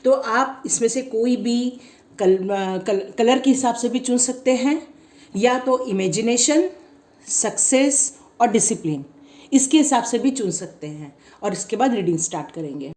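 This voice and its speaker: Woman tarot reader, throat a bit sore, mellow voice, echoey room, gently encouraging. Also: a little high, animated, unhurried. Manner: gentle, encouraging, repetitive guidance. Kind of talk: pick-a-card tarot reading, instructional.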